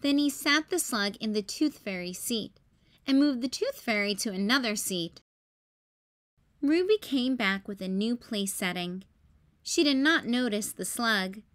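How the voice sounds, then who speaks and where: Slow, animated woman reading a storybook. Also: a little high. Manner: careful, expressive narration for young children. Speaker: storytime host. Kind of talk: children's picture-book read-aloud.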